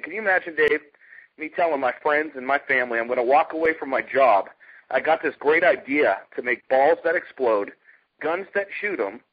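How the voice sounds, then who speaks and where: An American man, middle-aged, giving an informal, emphatic sales pitch as a network marketer, telling stories and asking rhetorical questions. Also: husky. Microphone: telephone conference call. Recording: muffled, dull conference phone line.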